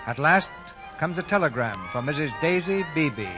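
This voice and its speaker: Man doing formal old radio drama intro, measured, medium voice, music behind, dull old recording. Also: a little high, lively intonation. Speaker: program host and narrator. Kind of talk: scripted 1940s radio narration. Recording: muffled 1940 radio recording over music.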